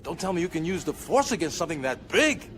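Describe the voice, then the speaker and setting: An actor playing a spirited, vigilant rebel adventurer in a sci-fi audio drama. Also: male voice, a little high, sing-song, fast talker, fairly bright tone, slight background noise. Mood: alarmed.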